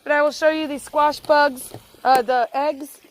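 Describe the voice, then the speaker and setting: A woman's voice: high voice, steady tone, measured pace. A woman with a cold and sore throat, giving a folksy, casual how-to talk as a gardening vlogger outdoors in her garden. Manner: folksy, informal, chatty.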